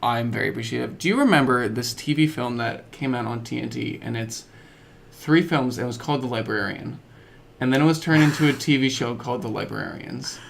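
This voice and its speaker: A young man co-hosting a podcast, chatting casually and excitably in halting, stop-and-start thoughts. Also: medium pitch, vocal fry, slight background noise. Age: young adult. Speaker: podcast co-host. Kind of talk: casual chat about films and games.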